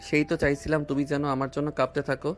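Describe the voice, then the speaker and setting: A man's voice: fairly high pitch, little pitch movement, rapid-fire, close to an everyday voice. A man playing a teasing, tender young husband. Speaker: voice actor. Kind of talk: audio drama acting. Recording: music bed underneath.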